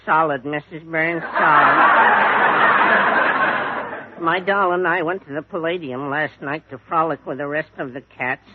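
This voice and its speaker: An actor playing a cheerful, droll, deliberate mailman in a 1940s radio comedy. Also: a little high, close to an everyday voice. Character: slow-spoken cheerful mailman playing at hep-cat slang. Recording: noisy, tinny 1940s radio recording.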